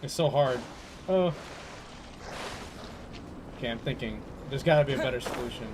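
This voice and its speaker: A man's voice: medium pitch, moderate pace, background noise. A young man giving casual, slangy gameplay commentary, often talking to himself as he plays. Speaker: video game streamer. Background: game music.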